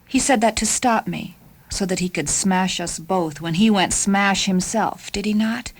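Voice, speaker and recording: A woman voicing a soft-spoken, lovelorn female car with a mind of her own in a radio story, hushed and hesitant. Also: mid-range voice, measured pace. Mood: wistful, doubting. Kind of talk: radio story character acting.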